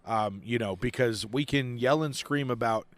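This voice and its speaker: A young man co-hosting a casual podcast, chatty and quick to laugh, recorded outdoors on a porch. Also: medium pitch, no echo. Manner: chatty, laughs often, talks over his co-host. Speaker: podcast co-host. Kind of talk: casual chat.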